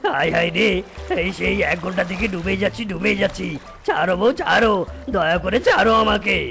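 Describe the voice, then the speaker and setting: A man's voice: high voice, brisk, vocal fry, sharp tone, exaggerated voice. A man voicing a naive, meek animal husband in a comic cartoon, with an exaggerated hapless delivery. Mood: pleading.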